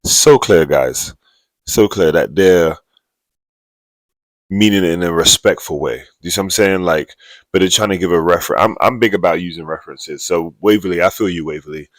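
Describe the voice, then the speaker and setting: Deep-voiced man hosting a dating show. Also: normal speed, dry recording. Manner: animated, repeats phrases for emphasis. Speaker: host. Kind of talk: casual commentary.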